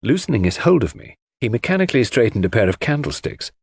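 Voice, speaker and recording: A man's voice: medium pitch, big pitch swings, fast talker, creaky, slightly rough, dry recording. A man reading a classic mystery as scripted audiobook narration.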